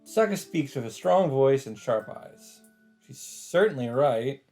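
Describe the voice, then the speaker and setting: A man narrates a visual novel in a mellow, relaxed voice. He reads the story text casually and slips in his own comments, with faint music underneath. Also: medium pitch, measured pace. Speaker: let's player reading a visual novel aloud.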